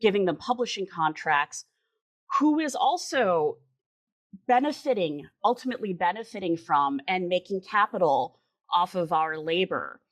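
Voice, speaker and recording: Articulate Midwestern woman, low-pitched and earnest. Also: lively intonation, moderate pace. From Chicago suburbs, US Midwest. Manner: earnest, articulate, emphatic repetitions. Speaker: interview guest, writer and editor. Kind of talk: reflective interview answer.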